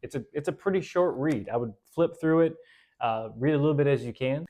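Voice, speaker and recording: A man hosting a casual Bible study, talking in a relaxed, conversational way with fillers and self-corrections, and reading verses slowly and deliberately. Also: a little high. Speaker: host.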